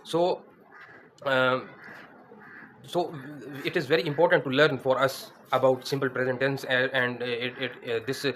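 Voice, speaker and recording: Teacher in his thirties, mid-pitched, hesitant lesson delivery, close mic indoors. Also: fairly even intonation, a little slow, a bit bright, a little hiss or hum. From Pakistan. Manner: hesitant, many fillers and restarts. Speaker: English teacher. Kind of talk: spoken grammar lesson. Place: indoors against a plain wall.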